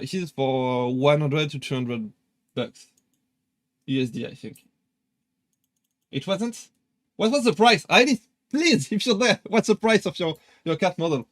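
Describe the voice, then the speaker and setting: A man's voice: fairly high pitch, fairly bright tone, dry recording. A young man with a French accent chatting casually on a live stream, hesitant with many fillers and restarts. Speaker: VTuber streamer.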